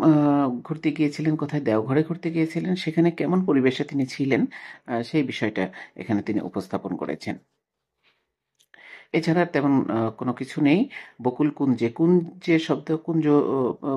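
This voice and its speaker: A man speaking as a teacher, reading a text aloud and explaining it with frequent hesitations and pauses. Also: medium pitch, darkish tone, slightly rough, dull audio. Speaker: Bengali literature teacher for school pupils.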